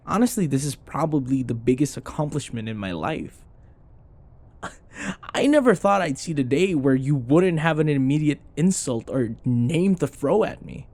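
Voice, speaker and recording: A man acting a teasing, flustered young office rival in an intimate ASMR boyfriend roleplay, halting and sometimes dropping into breathy murmurs and sighs. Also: on the high side, animated, darkish tone, dry recording. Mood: teasing, smug.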